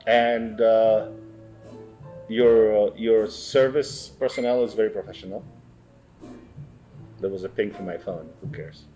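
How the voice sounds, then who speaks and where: A man with a Greek accent, a guest chatting in a bar, speaking haltingly with many fillers and restarts. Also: fairly low pitch, steady tone, dark tone, faint music behind. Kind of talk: casual chat.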